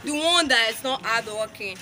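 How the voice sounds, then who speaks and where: A woman's voice: a little high, lively intonation, normal speed. A woman putting casual vox pop questions to people outdoors as an interviewer. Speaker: street interviewer. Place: open-air school campus. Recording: noisy outdoor campus, crowd and traffic. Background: crowd and vehicle noise.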